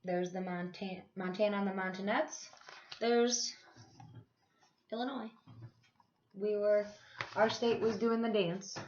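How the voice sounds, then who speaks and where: A woman reading a children's picture book aloud like a teacher, in an expressive, animated manner. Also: mid-range voice, takes it slowly, pauses often, slight background noise. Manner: animated, acts out dialogue. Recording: dull, lo-fi sound.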